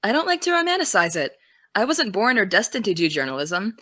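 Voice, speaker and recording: A young actress playing an earnest high school girl who wants to be a journalist, defensive and dry. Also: sing-song, brisk, bright, forceful tone, dry recording. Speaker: student podcast host. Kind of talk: audio drama.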